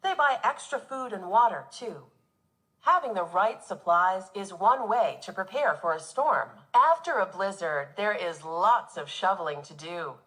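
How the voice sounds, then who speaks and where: Woman teacher reading aloud with lively intonation. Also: medium pitch, unhurried, poor audio. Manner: expressive, instructive delivery for young children. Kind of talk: children's nonfiction read-aloud.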